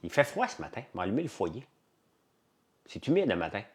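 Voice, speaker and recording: A man speaks in a low voice with a strong Quebec French accent. He hosts an informal livestream, talking off the cuff to viewers. His delivery is colloquial and blunt, and he tends to ramble and repeat his phrases. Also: sing-song, brisk. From Quebec, Canada. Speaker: livestream host. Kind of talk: casual livestream chat with viewers.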